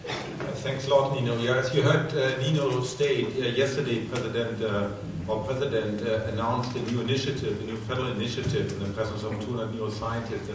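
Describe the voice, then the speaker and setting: Even-toned man in an echoey hall. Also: mid-range voice, brisk. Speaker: panelist, scientist. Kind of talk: informal panel talk. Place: large hall. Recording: very echoey hall, distant, noisy.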